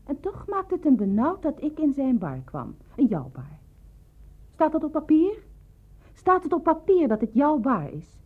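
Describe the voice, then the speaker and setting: An actress playing a determined, pointed woman facing a rival in a Dutch radio play, with a husky voice. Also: high-pitched, huge pitch swings, measured pace, full character voice. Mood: accusing. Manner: pointed, insinuating. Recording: narrow, muffled old radio recording.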